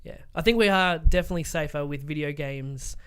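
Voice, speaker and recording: A man's voice: a little high, fairly quick, a bit bright, clear, resonant tone. A young man co-hosting a podcast, chatting casually in a blunt, emphatic way and swearing freely. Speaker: podcast co-host. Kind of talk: casual opinionated chat, swearing.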